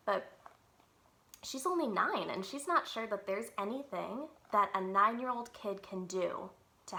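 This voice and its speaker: Female, bright medium-pitched voice. Expressive children's read-aloud, animated and clearly enunciated. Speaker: librarian reading aloud to children.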